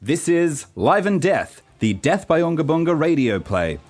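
A man's voice: fairly high pitch, sing-song, moderate pace, darkish tone, faint music behind. A man from Norway narrating a scripted radio play in a theatrical, storytelling way.

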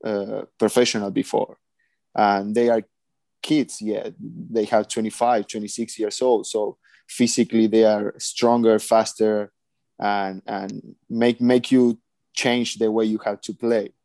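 A man in his thirties from Argentina, a former pro futsal player, speaking halting second-language English with many pauses. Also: lowish voice, flat delivery, creaky, darkish tone, no echo. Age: 30s. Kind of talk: casual panel chat.

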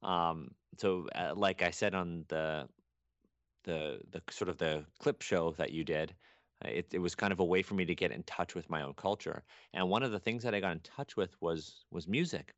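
Low-voiced chatty man. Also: moderate pace, dry recording, muffled recording. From Canada. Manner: rambling, animated, many restarts and fillers. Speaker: guest archaeologist. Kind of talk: casual podcast chat.

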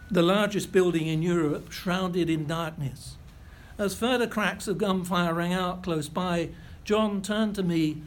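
An older man giving a lecture, reading out a first-hand account in a measured, precise way with a few self-corrections. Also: high-pitched. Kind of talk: reading a prepared memoir-style lecture.

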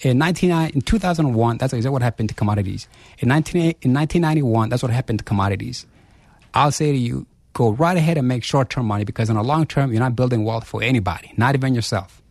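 A man, a guest in a radio interview, arguing forcefully with rhetorical questions and repeated phrases. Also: mid-range voice, fast talker, dry recording. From South Africa. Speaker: chief investment officer, guest.

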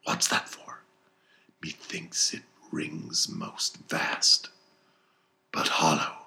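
A man voicing a wry, sceptical second mate in a storybook reading, whispering a hushed aside. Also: extremely slow, full character voice. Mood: wry, secretive. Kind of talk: dramatic audiobook character reading.

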